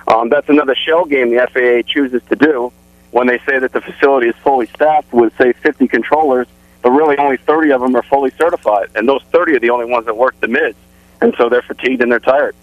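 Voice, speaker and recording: A man's voice: mid-range voice, no echo. American male caller, middle-aged, earnest, on a dull, dry phone line. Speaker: phone-in caller, air traffic controller. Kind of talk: explaining and arguing a point on radio. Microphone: telephone.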